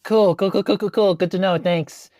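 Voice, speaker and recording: A woman's voice: medium pitch, steady tone, normal speed, warm tone, dry recording. A woman improvising casually as a tabletop game player, in a hesitant, rambling way. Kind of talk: casual in-character improvised chat.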